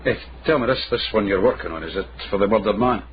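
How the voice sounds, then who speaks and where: An actor playing a dry, shrewd Scottish investigator of the eighteenth century in a radio drama. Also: male voice, fairly low pitch, quick pace, close to an everyday voice. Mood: brisk, probing. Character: dry, shrewd caddy turned investigator in 1790s Edinburgh. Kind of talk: period radio drama acting. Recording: muffled radio drama, loud background noise.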